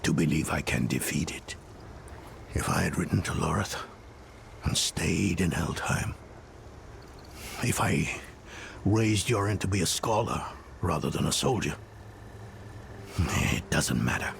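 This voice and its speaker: Weary middle-aged fantasy game scholar: halting, brooding, creaky voice with wide swings in pitch, music underneath. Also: male voice, expressive, a little hiss or hum, heavily acted. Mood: wistful, regretful. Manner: halting, brooding, muttering to himself. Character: grieving, weary older male scholar mending a relic. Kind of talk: dramatic fantasy game dialogue. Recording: dramatic music behind dialogue.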